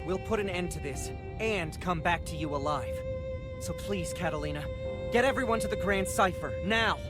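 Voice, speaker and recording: Earnest, animated young hero. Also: male voice, sits high, normal speed, over loud music. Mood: resolved. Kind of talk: dramatic video game voice acting.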